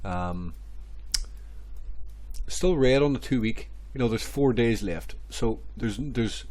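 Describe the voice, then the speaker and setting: A man, hoarse and stuffy from a cold, rambling through crypto chart analysis with many repeats and fillers. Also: medium pitch, lively intonation, takes it slowly, a little mellow. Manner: rambling, repeats phrases, many ums and restarts. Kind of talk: casual screen-share market commentary.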